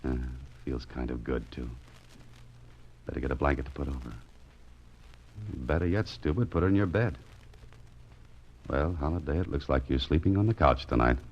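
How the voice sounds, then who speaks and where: Very deep-voiced, wry radio detective. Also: male voice, lively intonation, normal speed, pauses often. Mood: wry, musing. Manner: wry, self-mocking, musing aloud. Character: wry, soft-hearted private investigator and writer, grown man. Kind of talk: 1940s radio drama acting. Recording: muffled 1940s radio recording with music.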